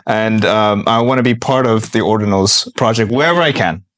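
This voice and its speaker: A man's voice: on the low side, a little fast, a bit bright. A man hosting a podcast, reacting to his guest in an informal, admiring way during a casual interview. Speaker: podcast host.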